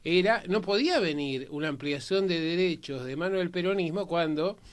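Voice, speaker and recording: A man's voice: on the high side, normal speed. An Argentine man discussing history as a panelist, explaining emphatically and checking his points with short tag questions. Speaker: panelist on a history and politics programme.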